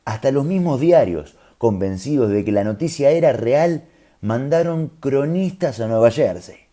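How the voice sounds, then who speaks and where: A man's voice: mid-range voice, lively intonation, normal speed, dark tone. A man with a Rioplatense Argentine accent tells a scripted story in a conversational, explanatory way, with colloquial asides. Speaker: storyteller host.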